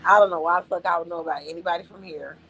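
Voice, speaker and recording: A woman's voice: fairly low pitch, steady tone, rapid-fire, pushed and bright, slight background noise, poor audio. A woman co-hosting a casual podcast chat, blunt and profane, losing her voice. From Las Vegas area. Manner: blunt, profane, emphatic storytelling. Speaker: podcast co-host.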